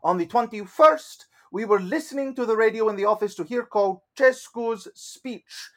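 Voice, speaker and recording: A man's voice: high voice, normal speed, pitched above the performer's own voice, close to an everyday voice. A man reading as a dry office translator. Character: male office translator, matter-of-fact.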